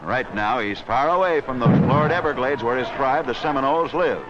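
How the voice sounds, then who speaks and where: A man's voice: medium pitch, brisk. A man delivering scripted 1940s newsreel wrestling commentary in a wisecracking, theatrical, slangy manner, on an old film soundtrack. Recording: noisy, dull old 16mm newsreel soundtrack.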